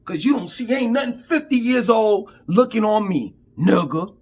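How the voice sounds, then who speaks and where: A man's voice: high-pitched, lively intonation, normal speed, husky. A man in his fifties giving an emphatic, profane, preachy rant to camera in street talk. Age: 50s. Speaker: vlogger.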